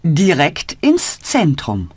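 A woman's voice: low-pitched, wildly swooping pitch, slow, darkish tone, breathy edge, no room sound, heavily acted. A woman voicing a helpful adult woman who gives short answers in a scripted learner dialogue.

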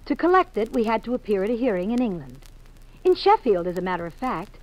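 A woman's voice: mid-range voice, very animated, fast talker, lightly acted, poor audio. An actress playing an anxious, hesitant married woman with an imploring manner, in 1950s radio drama style. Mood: flustered.

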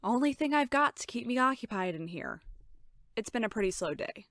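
A woman's voice: a little high, expressive, fairly quick, a bit bright. A woman playing a bored, insecure young ticket-booth worker in a web cartoon, griping and anxious. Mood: glum. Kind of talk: animated web cartoon acting.

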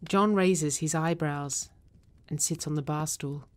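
Low-pitched woman narrator. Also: unhurried. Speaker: narrator reading stage directions. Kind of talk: scripted narration.

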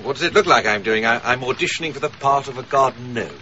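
Actor playing awkward hopeful gentleman, English accent, dryly witty, hesitant, polite, radio drama, muffled sound, faint background noise. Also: medium pitch, fast talker, lightly acted. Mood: wry.

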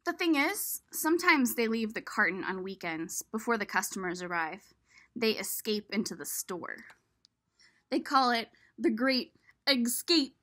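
A woman's voice: on the high side, expressive, measured pace, a bit bright. A woman voicing a worry-prone homebody egg from a picture book, reading expressively and chattily to the listener. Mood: chatty, easygoing. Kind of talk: expressive storybook read-aloud.

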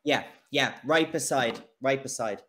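A man's voice: mid-range voice, measured pace, fairly bright tone. A man in his late twenties with an Irish accent, teaching English in a casual chat, using simple phrasing and repeating key words. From Ireland. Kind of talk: casual conversational lesson. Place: kitchen indoors, video call. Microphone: video call device.

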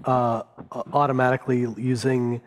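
A man's voice: medium pitch, little pitch movement, normal speed, slight background noise. A man moderating a panel discussion, posing questions to the speakers. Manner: brief affirmations and questions.

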